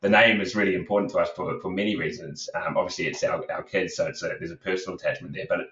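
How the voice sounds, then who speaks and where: Man, very low voice, rambling with fillers, echoey room, far from the mic, muffled call audio. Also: fairly even intonation, fast talker, fairly bright tone. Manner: rambling, many fillers and restarts. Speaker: recruitment company co-founder, guest. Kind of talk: casual podcast interview talk. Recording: roomy, distant, dull video call audio.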